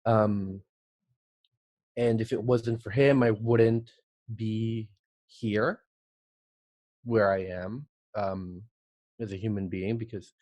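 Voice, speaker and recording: Slow, low-voiced man from El Salvador. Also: a little mellow, dry recording. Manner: halting, thoughtful, many pauses and restarts. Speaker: guest, art director. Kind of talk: casual podcast interview.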